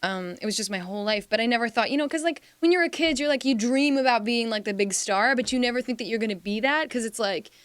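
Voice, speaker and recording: A woman's voice: on the high side, expressive, quick pace, hardly any pauses, clean tone, dry recording. A young woman from Slovakia chatting as a singer guest in a radio studio, talking in long rambling runs full of fillers and "like"s. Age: young adult. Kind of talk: casual interview chat. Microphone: studio microphone.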